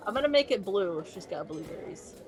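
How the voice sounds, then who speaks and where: A woman's voice: mid-range voice, animated, brisk, soft background music. A woman playing a tabletop game, talking casually as she joins in.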